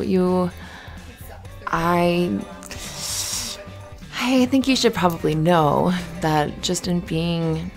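Woman playing a flustered, flirty barista, low creaky voice. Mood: earnest, grateful. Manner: giggly, halting, rambling with repeated restarts. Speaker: ASMR roleplay voice performer. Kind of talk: intimate ASMR roleplay, improvised-sounding chatter. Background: soft music.

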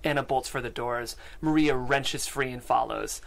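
A man's voice: mid-range voice, normal speed, creaky, fairly bright tone. Young man hosting from a podcast studio. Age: young adult. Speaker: show host and stage-direction reader. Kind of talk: casual hosting and scripted script narration. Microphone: close studio microphone with foam windscreen.

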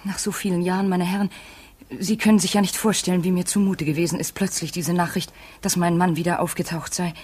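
A woman's voice: on the low side, fairly even intonation, fast talker, a little mellow, husky. An actress playing an anxious but dignified wife of a missing man in a 1950s radio play, formal and insistent. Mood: distressed, formal.

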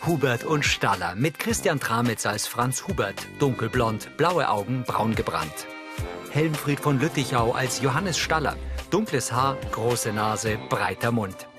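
A male narrator gives neutral audio description for a TV show. His mid-range voice is measured and factual, reading short scripted sentences over prominent music. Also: mid-range voice, expressive.

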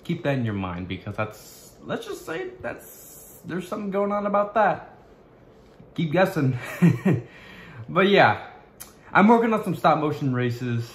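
A young man vlogging to his webcam in a small bedroom, talking in a halting way with many restarts as he searches for words. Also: fairly high pitch, huge pitch swings, echoey room. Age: young adult. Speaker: YouTuber. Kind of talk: casual vlog to camera.

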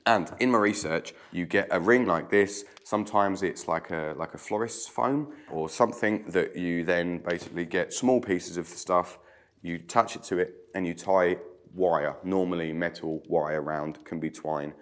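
A man in his thirties with a very low voice talks through a craft tutorial in a chatty way while his hands keep weaving. He is getting over a cold, so his voice sounds croaky. He is recorded in an old stone-walled room by a camera set across the table. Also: moderate pace.

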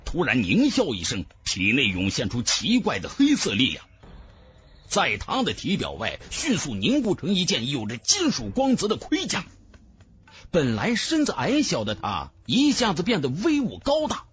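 A man's voice: fairly high pitch, huge pitch swings, normal speed, fairly bright tone, a little hiss or hum. A man narrating a fantasy novel as a dramatic scripted audiobook. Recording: music bed under the voice.

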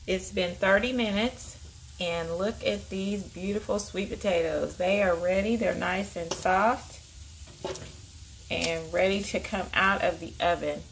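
Cooking vlogger, woman, lilt, lowish pitch, casual talk-through in her own kitchen with light background noise. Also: rough recording. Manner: chatty, upbeat, thinks aloud with small fillers. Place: home kitchen.

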